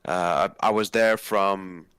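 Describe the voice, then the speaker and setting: A man's voice: low voice, steady tone, slow, a bit bright, smooth, dry recording, studio quality. A man in his twenties from Nigeria, speaking casually as a guest in an interview. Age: 20s.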